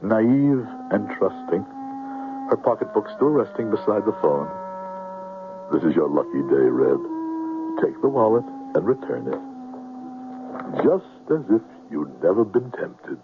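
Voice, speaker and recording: An actor playing a smooth-talking swindler posing as a reverend, with pompous, florid phrasing in a 1970s radio drama. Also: male voice, fairly low pitch, very animated, slow pace, pauses often, full character voice. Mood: wistful. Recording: noisy, narrow-band 1970s radio recording.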